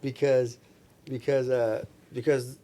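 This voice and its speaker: A man's voice: mid-range voice, level tone, takes it slowly, dark tone. A man chatting casually and slangily, often dropping in "like" and "you know". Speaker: podcast guest, recording studio engineer. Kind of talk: casual chat. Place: small room with couches, indoors.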